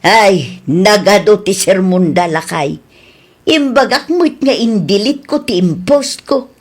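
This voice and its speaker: A woman's voice: mid-range voice, lively intonation, slow. A woman with an Ilocano accent playing an indignant, complaining village woman in a comedy radio drama. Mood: indignant, defensive. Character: complaining, indignant village women in a comic squabble.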